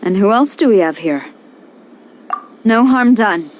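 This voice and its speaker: A woman's voice: mid-range voice, lively intonation, slight background noise, close to an everyday voice. Woman playing a composed, courteous lady commander. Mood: helpful. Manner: formal, measured, polite. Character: composed, courteous female military commander, dutiful and earnest. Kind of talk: anime game dialogue.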